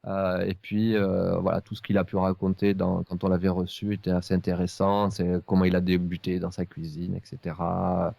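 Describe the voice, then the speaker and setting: A man hosts a casual podcast in a low voice with a gravelly creak. The sound is dry and close, and his phrasing is halting and hesitant. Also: completely flat delivery, dark tone, no echo. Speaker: podcast host.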